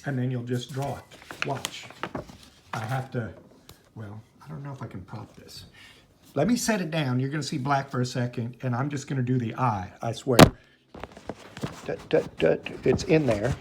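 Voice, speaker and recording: A man's voice: mid-range voice, normal speed, long pauses. Rambling male art teacher, noisy home recording. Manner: chatty, rambling, easily sidetracked. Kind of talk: informal hands-on demonstration. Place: at home, indoors.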